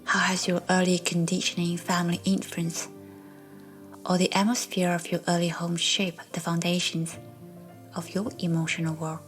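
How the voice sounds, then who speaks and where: A woman narrating a guided meditation in a soft, breathy, soothing way, as a meditation guide. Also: lowish voice, level tone, moderate pace. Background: soft background music.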